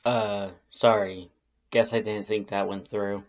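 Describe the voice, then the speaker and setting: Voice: level tone, slow, dull audio. A reader voicing a short, laid-back male skeleton, laconic and casual, in a fan fiction audiobook. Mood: sheepish. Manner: laconic, casual, low-key.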